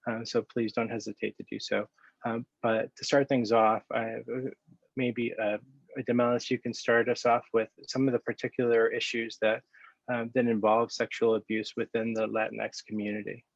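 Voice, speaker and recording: A man from the Dominican Republic moderating an online panel discussion, halting and full of fillers and restarts. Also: mid-range voice, level tone, gravelly, dry recording. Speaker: panel moderator, magazine editor. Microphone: video call. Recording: dry, dull lo-fi video call audio.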